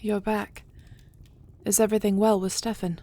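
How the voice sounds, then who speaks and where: A woman's voice: mid-range voice, level tone, moderate pace, mellow, close to an everyday voice. A woman playing a devoted dragon queen and wife, fierce yet tender, speaking formally and intimately in an audio roleplay. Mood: composed, caring. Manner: intimate, formal storybook speech.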